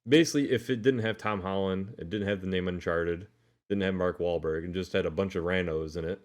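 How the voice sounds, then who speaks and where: Very deep-voiced man chatting casually. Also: brisk. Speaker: podcast co-host. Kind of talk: casual podcast discussion.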